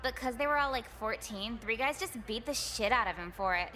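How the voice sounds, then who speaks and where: Bright, echoey snarky teen girl. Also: a little high, animated, a little fast. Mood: gossipy, snarky. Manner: blunt, casual, gossipy. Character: breezy, snarky, sociable popular teenage girl. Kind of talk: visual novel game voice acting. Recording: roomy reverb over noisy ambience.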